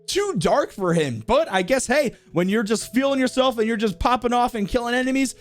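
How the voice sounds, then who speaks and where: A man's voice: very high-pitched, expressive, fairly quick. A man hosting a video game ranking with casual, enthusiastic, rambling commentary full of slang. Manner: rambling, uses lots of slang. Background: faint music.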